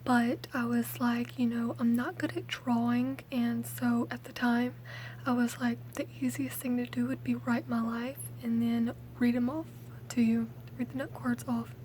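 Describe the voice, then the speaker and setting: Hushed young woman, half whispering. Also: a little high, little pitch movement, measured pace, a little hiss or hum. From Mississippi, USA. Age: late teens. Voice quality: soft, hushed, often whispered. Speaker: ASMR video creator. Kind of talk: ASMR, rambling personal storytelling.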